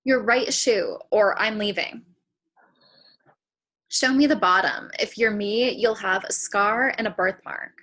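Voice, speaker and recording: A woman's voice: medium pitch, takes it slowly, fairly bright tone. A woman playing a wary, blunt, indignant schoolgirl in a comic stage play reading. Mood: suspicious, testing. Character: skeptical, indignant schoolgirl meeting her future self. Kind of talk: stage comedy acting in a table read.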